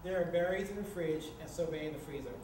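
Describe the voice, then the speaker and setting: A man's voice: on the high side, fast talker, warm tone, close to an everyday voice. Actor as exasperated man, distant and echoey. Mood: wry. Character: exasperated, sarcastic man nearly thirty, bantering housemate. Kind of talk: staged screenplay reading. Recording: echoey, noisy room from far off.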